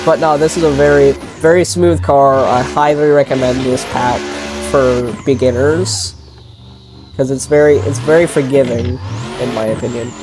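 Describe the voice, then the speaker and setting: A man with a stuffy nose from a sinus infection, giving relaxed, rambling commentary while driving a racing sim. He often restarts his sentences and blurts out short exclamations. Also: mid-range voice, moderate pace, noisy background. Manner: rambling and easygoing, with self-corrections and sudden exclamations while driving. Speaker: sim racing video creator. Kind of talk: casual gameplay commentary.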